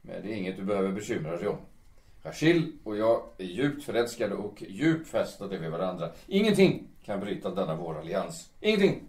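Guy acting earnest middle-aged editor in love, lowish voice, roomy echo, radio play. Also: sing-song, darkish tone. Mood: firm, elated. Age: late 30s. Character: earnest, lovestruck middle-aged magazine editor, defending his engagement. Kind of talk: audio drama dialogue in Swedish. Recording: echoey room.

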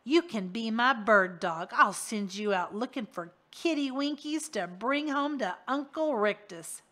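A woman voicing a sly, boastful male henchman who taunts and wheedles in a raised, brightened voice. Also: on the high side, lively intonation, unhurried. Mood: taunting.

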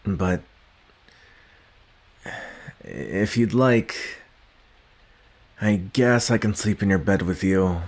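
A young man playing a gruff, guarded but secretly caring villain in an ASMR roleplay, speaking haltingly with sighs and grudging tenderness. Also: low voice, a little mellow, exaggerated voice. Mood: grudgingly tender. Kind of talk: ASMR roleplay addressed to the listener.

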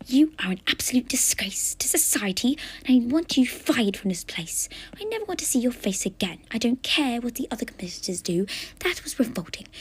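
A woman's voice: on the high side, husky, pitched above the performer's own voice, full character voice, low-quality recording. A woman voicing a haughty, scathing female food critic who talks dramatically and breathily, calling people darling.

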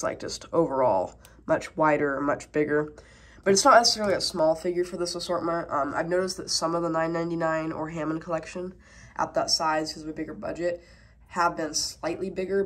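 A woman with a stuffed-up cold casually reviewing a toy, rambling with many fillers, recorded at a tabletop on a camera mic. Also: lowish voice. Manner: rambling, many fillers, talking while handling toys.

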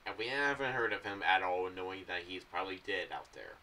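A young American man giving casual, meandering commentary to camera in a halting, loose way. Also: sits low, pushed and bright, distant mic, slight background noise. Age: young adult. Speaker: video creator giving theories on an audio drama series. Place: small room indoors. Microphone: boom-arm condenser with pop filter, off to the side.

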